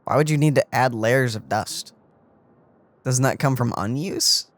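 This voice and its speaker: A man's voice: medium pitch, measured pace, dry recording. A man co-hosting a let's play with casual, wry commentary. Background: faint game music.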